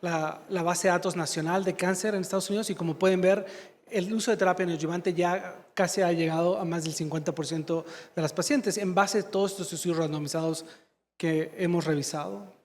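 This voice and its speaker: A man's voice: high voice, steady tone, a little fast. A man from Mexico giving a medical conference talk, fluent but searching for words and filling gaps with "este". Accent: slight Mexican Spanish. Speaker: conference speaker, surgeon. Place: large conference hall. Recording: long hall reverb.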